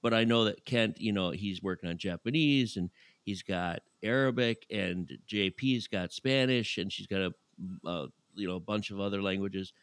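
A man's voice: fairly low pitch, moderate pace, fairly bright tone, clear, resonant tone, dry recording. A man co-hosting a podcast, chatting casually and asking inquisitive questions. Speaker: podcast co-host. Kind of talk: casual chat.

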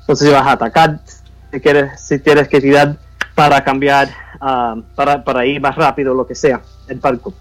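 A man who grew up speaking Spanish at home and now mostly speaks English, chatting as a game designer guest and often pausing to search for words. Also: medium pitch, little pitch movement, soft background music, low-quality recording. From United States, Cuban family. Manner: halting, searching for Spanish words, many fillers. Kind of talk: casual interview chat.

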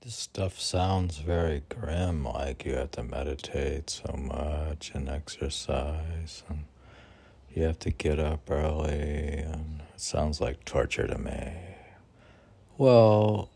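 This is a man with a very deep, dark, creaky voice. He talks slowly and roughly, in an informal poetry-reading style. He rambles conversationally, putting questions to himself and then answering them. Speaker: poet reading and commenting on own poems.

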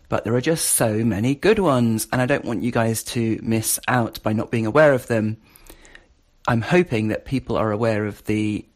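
Low-voiced man reviewing casually. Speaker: podcast host reviewing voice-assistant skills.

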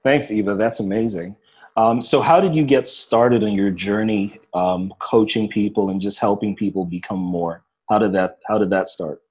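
Deep husky-voiced male host. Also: normal speed, darkish tone, muffled recording. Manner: brief supportive affirmations. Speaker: podcast host. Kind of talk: casual interview conversation.

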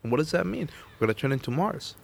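A man in casual podcast chat, swearing often and explaining with rising excitement. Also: on the high side, fast, gravelly, a little hiss or hum. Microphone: shared podcast microphone.

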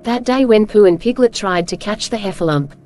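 Woman reading stories aloud, mellow voice, measured n clear, faint music under it. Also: medium pitch. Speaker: audiobook narrator and host. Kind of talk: scripted storybook narration.

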